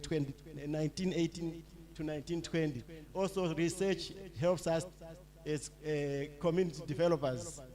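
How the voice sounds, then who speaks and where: A middle-aged man from Zimbabwe giving a public lecture, talking in a halting way with many fillers and restarts. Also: fairly high pitch, dark tone. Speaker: lecturer, associate professor.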